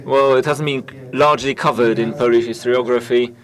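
A man giving a simultaneous interpretation of conference talks, halting and full of filler sounds and restarts. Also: medium pitch, steady tone.

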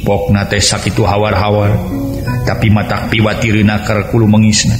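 A male storyteller with a Sundanese accent and a fairly low voice narrates a dramatic folk tale. His voice carries a strong echo, and music plays behind him. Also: monotone, normal speed. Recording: echoey voice over music.

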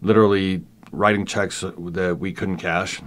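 Low voiced venezuelan accent guy in his 50s, podcast guest, lots of ums and restarts. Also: fairly even intonation, unhurried. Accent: Venezuelan Spanish. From Argentina, raised in Venezuela. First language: Spanish. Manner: thoughtful, many ums and restarts. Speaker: guest, professor. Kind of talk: casual podcast interview.

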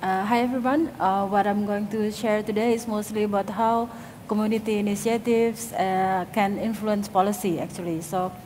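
A woman from Indonesia, a designer and lecturer, giving a relaxed conference presentation with frequent fillers. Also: medium pitch, moderate pace, a little hiss or hum. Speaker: panel speaker, designer and lecturer. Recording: reverberant hall, faint ambience.

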